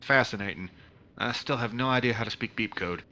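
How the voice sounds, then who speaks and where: A man playing a jittery, sarcastic, easily awed spaceship officer in a comedic sci-fi play, with animated, wry delivery. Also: mid-range voice, a little fast, lightly acted.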